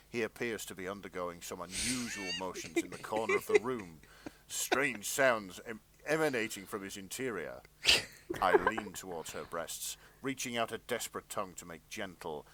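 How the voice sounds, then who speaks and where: A man's voice: fairly low pitch, noisy background. A man hosting a podcast, reading fan fiction aloud with mock-serious narration.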